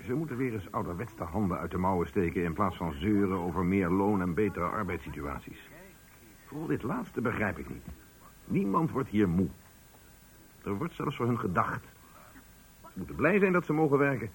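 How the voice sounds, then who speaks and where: An actor playing a hard-nosed, pompous factory director in a radio play, condescending and scornful of his workers, with a husky voice. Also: deep voice, very animated, moderate pace, poor audio. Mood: smug, scornful. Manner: pompous, condescending, rhetorical questioning. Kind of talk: Dutch radio play acting.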